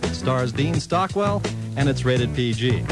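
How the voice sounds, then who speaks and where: Loud guitar music runs under an American man's voice on an old radio broadcast. He is a mid-pitched announcer reading scripted movie listings. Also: animated, unhurried.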